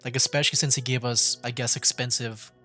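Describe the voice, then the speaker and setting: A man's voice: mid-range voice, fairly even intonation, brisk, pushed and bright, faint music behind. A man streaming a video game with casual, tentative reactive commentary, thinking aloud. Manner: musing, tentative.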